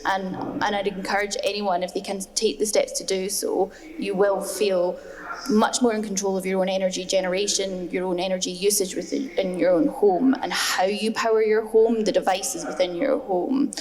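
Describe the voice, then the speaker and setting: A woman's voice: medium pitch, level tone, normal speed, a little mellow. A woman speaking as a panelist at a design festival discussion, earnest and emphatic, often repeating words for stress. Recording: long hall reverb.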